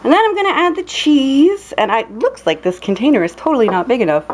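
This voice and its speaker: A chatty young woman walks through a recipe at home, filling gaps with ums and little cheers. Her voice sits a bit high and leaps around a lot. A faint hiss runs under the recording. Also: sing-song, brisk. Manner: bubbly, chatty, lots of ums and playful exclamations. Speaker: cooking vlogger. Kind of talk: casual cooking demonstration. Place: dim home kitchen. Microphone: camera held close, filming her hands.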